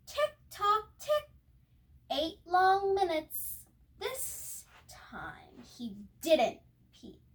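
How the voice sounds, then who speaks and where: A woman's voice: very high-pitched, wildly swooping pitch, extremely slow. A young girl reads a picture book aloud expressively, stumbling now and then and correcting herself, with asides to viewers. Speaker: storybook reader.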